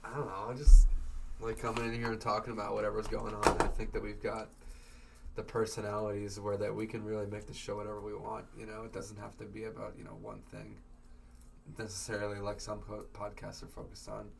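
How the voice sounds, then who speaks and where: A young man co-hosts a casual podcast in a laid-back, trailing mumble. His low voice carries some gravel and sits well back from the mic, with clear background noise on the track. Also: monotone, a little fast, far from the mic. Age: young adult. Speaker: podcast co-host. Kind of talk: casual chat.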